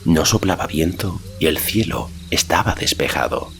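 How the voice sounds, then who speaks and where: A man narrating a fantasy audiobook in a measured storytelling manner. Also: low voice, animated, creaky, a bit bright, a little hiss or hum. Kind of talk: scripted narration of a fantasy novel.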